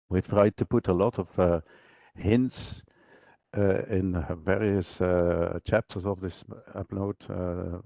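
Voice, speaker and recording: A man giving an unscripted technical walkthrough as a product trainer, speaking haltingly in fragments, often hesitating and searching for words. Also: deep voice, creaky, mellow. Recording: dull, roomy online presentation audio.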